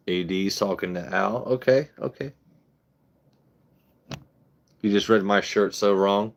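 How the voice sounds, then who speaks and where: A man's voice: on the low side, normal speed, creaky. A man in his thirties hosting a casual livestream, talking bluntly with lots of swearing and rambling asides. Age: 30s. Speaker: whiskey channel host.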